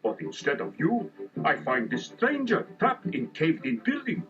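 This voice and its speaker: An actor playing a superstitious, friendly, timid male desert guide with a foreign accent and halting, dramatic broken English in a children's audio storybook. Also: on the high side, huge pitch swings. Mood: earnest. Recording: dull lo-fi tape, music behind.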